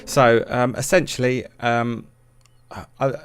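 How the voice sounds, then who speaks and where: A man's voice: mid-range voice, flat delivery, takes it slowly, smooth, dry recording. A man narrating a music production tutorial in a casual, hesitant, self-deprecating way.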